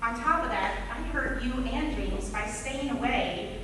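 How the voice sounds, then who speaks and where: A woman in an amateur stage play playing a wistful, regretful middle-aged aunt, speaking haltingly and earnestly. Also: on the high side, lightly acted. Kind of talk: amateur one-act stage play acting. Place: stage in a hall, indoors. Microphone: distant audience camera. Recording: echoey hall from far off, noisy.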